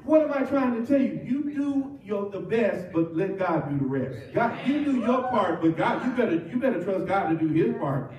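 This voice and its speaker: A middle-aged man preaching a sermon as pastor, animated and full of call-and-response. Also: sits high, normal speed, muffled recording. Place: church sanctuary with cross wall. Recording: roomy church from far off.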